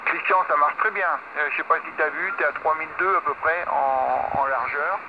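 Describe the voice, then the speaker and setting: A French man talks from his home radio station in a relaxed, technical way. His voice sits a little high. The audio comes through muffled, with steady hiss underneath. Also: normal speed, vocal fry. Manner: easygoing, technical, with light laughs. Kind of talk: casual radio contact chat. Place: home radio shack with amp and 3D printer running.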